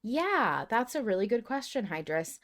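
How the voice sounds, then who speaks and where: A woman's voice: fairly high pitch, big pitch swings, normal speed, fairly bright tone. A woman hosting a casual live discussion podcast, chatty and thinking aloud as she interrupts herself. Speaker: podcast host. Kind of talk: casual live discussion reading listener comments.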